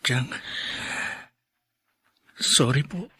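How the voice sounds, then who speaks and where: A man's voice: medium pitch, drawn-out words, fairly bright tone, breathy edge, heavily acted. A man playing a remorseful young husband and new father in a drama, earnest, respectful and apologetic. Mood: pleading, remorseful. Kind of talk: melodramatic drama acting.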